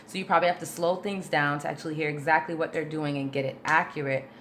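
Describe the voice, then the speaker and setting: Low-voiced woman vocal coach, chatty. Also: fairly even intonation, fast, fairly bright tone, a little hiss or hum. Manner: chatty and informal, with many fillers; explains patiently, breaking things down step by step. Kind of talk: casual livestream Q&A, reading and answering viewer questions.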